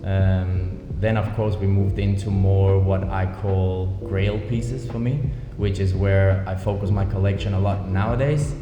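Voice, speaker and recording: Low, flat-voiced man. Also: highs cut off. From Denmark. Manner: measured, thoughtful, many ums. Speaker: watch brand founder, guest. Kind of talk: relaxed interview conversation. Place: office. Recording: echoey office with music bed.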